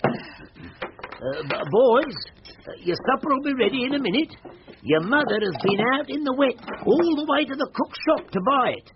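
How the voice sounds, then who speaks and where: A man playing a gentle, patient Victorian working-class husband, soothing and hesitant, in old-time radio drama style. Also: very high voice, lively intonation, normal speed. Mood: coaxing. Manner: soothing, hesitant, mildly questioning. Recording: muffled, noisy old radio drama recording.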